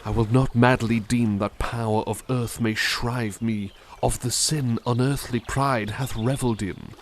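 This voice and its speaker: A man voicing a kind, polite butler in an ASMR roleplay, speaking softly and formally and reciting poetry with dramatic flair. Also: mid-range voice, steady tone, measured pace, slight background noise, close to an everyday voice. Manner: polite, formal and soft-spoken; dramatic, lilting recitation of verse. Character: gentle, kindly adult male butler.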